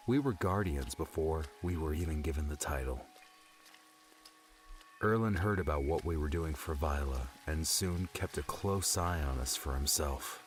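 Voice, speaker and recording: A man playing a grieving young male adventurer in an audiobook, confiding in a halting, reflective way. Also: very deep voice, pitched below the performer's own voice. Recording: music under the voice.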